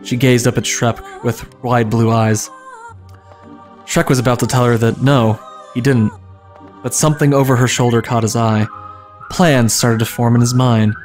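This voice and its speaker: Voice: mid-range voice, steady tone, normal speed, long pauses, darkish tone, faint music behind. A streamer giving an informal, amused dramatic reading of comic fan fiction, stumbling over the text now and then. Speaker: streamer and story reader.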